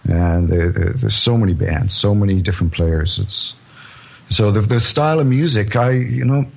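A man with a very deep, slightly husky voice talks in a relaxed, conversational way. He restarts sentences often and laughs at his own jokes as he tells stories. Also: moderate pace. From born in Scotland, raised in Canada. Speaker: guest musician. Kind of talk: casual interview chat.

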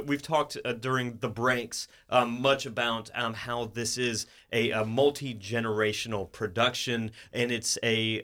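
Guy radio host, mid pitch, measured halting delivery, doing a studio interview. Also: fairly bright tone.

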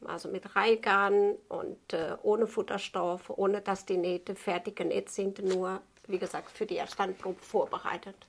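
A woman in her fifties with a Portuguese accent and a noticeably creaky voice. She explains her craft in an unscripted interview, speaking rather slowly and haltingly as a native Portuguese speaker searching for words. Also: mid-range voice, fairly even intonation. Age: 50s. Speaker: tailor being interviewed.

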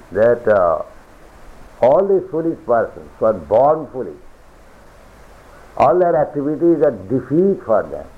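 A man giving a philosophical sermon as a spiritual teacher, lecturing deliberately, repeating phrases and reciting scripture. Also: mid-range voice. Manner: deliberate, repetitive lecturing with chanted scripture quotes. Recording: tinny lo-fi archival recording.

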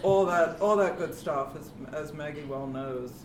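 An American woman in her fifties with a deep, fairly monotone voice, giving a chatty, self-deprecating talk to a live panel audience. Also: unhurried, distant mic. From United States. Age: 50s. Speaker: panel speaker. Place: event hall with live audience. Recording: echoey room, off-mic, background noise.

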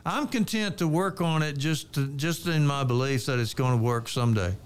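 An American man hosting casual political talk radio, speaking in a measured, deliberate way. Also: fairly high pitch, clean tone. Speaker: radio host. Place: radio studio.

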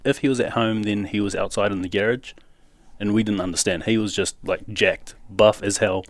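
A man's voice: on the low side, fairly even intonation, normal speed, no room sound. A young man from New Zealand with a Kiwi accent, candidly telling his life story as a podcast guest in a casual, slangy way with nervous laughs.

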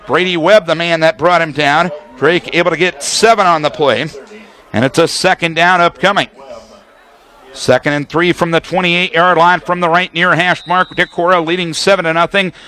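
A man with a high, bright voice works as a radio football announcer. He delivers live play-by-play in short, clipped phrases that lay out formations and action. His tone is forceful and projected, built for sports broadcasting. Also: normal speed. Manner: clipped descriptive phrases, excited calls on big plays.

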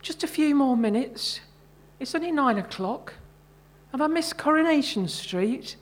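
A woman's voice: high voice, animated, measured pace, voice pushed up. A woman voicing an elderly, long-married mother, proud and plain-spoken, in a short story reading. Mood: sleepy.

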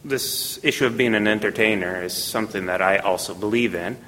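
A man interviewing a teacher, asking measured questions and giving brief affirmations as he listens. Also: on the low side, sharp tone, in a large hall, a little hiss or hum, low-quality recording.

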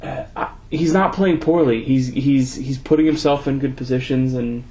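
A man co-hosting a casual fantasy football podcast, talking haltingly with many restarts. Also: mid-range voice, a little mellow. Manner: hesitant, many fillers and restarts. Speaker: podcast co-host.

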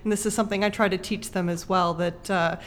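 A woman's voice: mid-range voice, steady tone, quick pace, a bit bright, a little hiss or hum. A woman in conversation, explaining her ideas thoughtfully like a teacher, building points in short clauses. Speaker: podcast guest host, creative writing teacher.

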